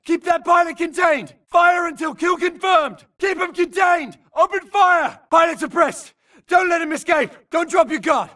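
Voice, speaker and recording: An actor playing an urgent, alert infantry grunt in a video game, shouting clipped military callouts in battle. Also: male voice, very high-pitched, normal speed, far from the mic. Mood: alert, commanding. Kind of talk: shouted combat barks.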